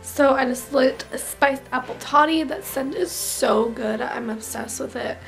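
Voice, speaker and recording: Twenties woman, high, slightly raspy voice, chatty vlogger, drifts into whispers. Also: measured pace, faint music behind, low-quality recording. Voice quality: raspy, throat not clear. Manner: chatty, gushing, drops to whispers while doing makeup. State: recovering from illness, clearing throat. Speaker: beauty vlogger. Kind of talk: casual vlog chat. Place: small bedroom, indoors. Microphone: camera mic, close framing.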